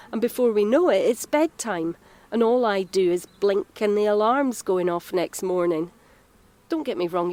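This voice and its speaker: A woman's voice: mid-range voice, darkish tone, close to an everyday voice. An actress playing a harried, self-reproachful Scottish mother in her thirties in an audio drama, talking in a naturalistic, confiding way. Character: harried, guilt-ridden mother of a nine-year-old boy.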